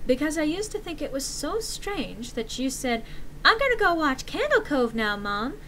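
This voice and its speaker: A woman giving an expressive, theatrical reading of a horror story written as forum posts. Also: sits high, normal speed, slight background noise.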